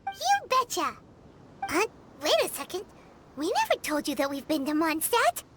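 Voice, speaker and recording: A woman voicing a small, excitable, blunt fairy girl companion in a video game, with a squeaky childlike voice and perky delivery. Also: normal speed, very noisy, exaggerated voice. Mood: excited, surprised. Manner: perky, refers to self in third person. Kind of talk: video game character acting. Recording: loud music behind voice. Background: game music.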